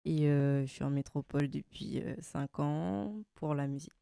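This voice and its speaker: A young woman from French Guiana talks about her music in a relaxed interview chat, laughing often between thoughts. Her voice is warm, smooth and dark-toned. The sound is dry and close. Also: low-pitched, normal speed, dry recording. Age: 20s. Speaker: guest singer.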